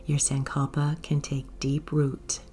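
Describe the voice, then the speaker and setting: A woman guiding a meditation in a soft, gentle, unhurried way, with breathy phrase endings. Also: low voice, monotone. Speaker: yoga nidra guide. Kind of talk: guided meditation narration. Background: soft music.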